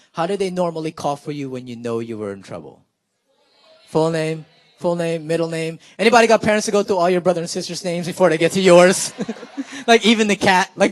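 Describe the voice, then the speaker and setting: A man's voice: on the high side, no echo. A young man doing stand-up comedy for a live crowd, chatty and playful, laughing and talking with the audience. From Philippines, raised in California. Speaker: stand-up comedian and spoken word performer.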